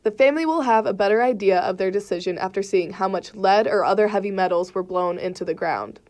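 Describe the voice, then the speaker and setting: A woman's voice: medium pitch, quick pace, a little mellow. A young woman working as a student TV reporter, reading a scripted news report. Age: young adult.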